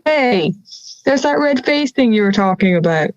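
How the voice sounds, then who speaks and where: A woman's voice: on the high side, animated, moderate pace, muffled recording, lightly acted. A young woman playing a dry, civil talking wolf in an audio drama, wry and easily offended.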